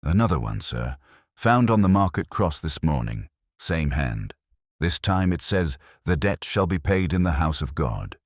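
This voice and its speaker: A man's voice: very deep voice, sing-song, a little slow, dry recording, studio quality, heavily acted. A man voicing an earnest, dutiful police sergeant reporting deferentially in a mystery story. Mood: urgent, dutiful.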